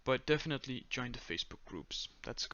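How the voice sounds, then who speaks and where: A young man with a Danish accent giving a step-by-step screen-recorded tutorial in a flat, monotone voice full of "uh"s and "um"s. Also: mid-range voice, a little fast, dry recording, highs cut off. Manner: monotone, many fillers. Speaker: tutorial creator.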